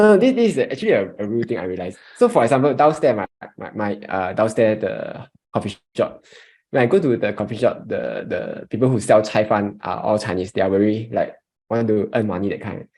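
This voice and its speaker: Man, Singaporean English accent, warm dark tone, low pitch, storytelling. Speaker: podcast co-host. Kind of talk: casual chat.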